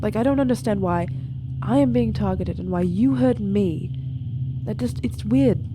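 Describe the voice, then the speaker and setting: A woman's voice: medium pitch, normal speed, natural voice. A woman playing a troubled demigod hero in a tabletop roleplay, asking probing questions in a blunt way. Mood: worried. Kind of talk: improvised in-character tabletop roleplay. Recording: music playing under the voice.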